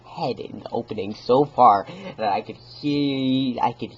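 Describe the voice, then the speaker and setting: A dull, slightly noisy home recording carries a man's performance of a deranged male confessor from a gothic horror tale. He is manic and halting, stammering over repeated words. His delivery is unstable, moving between stealthy hush and feverish outburst, at a middling male pitch. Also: lightly acted. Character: manic, stammering madman gleefully confessing his stalking of an old man. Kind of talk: dramatic reading of a gothic horror story.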